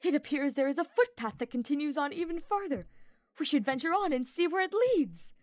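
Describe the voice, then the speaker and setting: An exuberant, chivalrous young knight, acted by a woman narrator in a storybook reading, pitched high and bright with excitement, gushing in florid exclamations. The sound is dry and close. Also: brisk, no echo. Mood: eager. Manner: effusive, exclamatory, florid speech. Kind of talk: dramatised fiction reading.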